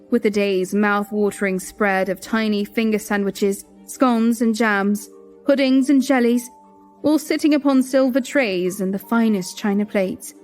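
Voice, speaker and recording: Audio drama lead: composed young queen played by a woman, refined, measured, dutiful narration with music beneath. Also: a little high, a little mellow, close to an everyday voice. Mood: composed, descriptive.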